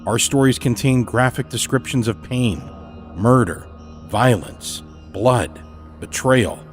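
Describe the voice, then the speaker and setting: Man hosting a scripted podcast. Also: on the low side. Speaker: podcast host. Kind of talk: scripted podcast introduction and announcements.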